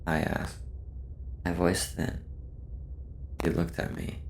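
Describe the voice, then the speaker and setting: A man narrates a horror story in a very low voice thick with vocal fry. He takes his time, keeping the delivery subdued and measured, with little melodic movement. Faint noise sits behind the voice. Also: little pitch movement. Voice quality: deep, gravelly. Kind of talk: scripted first-person horror narration.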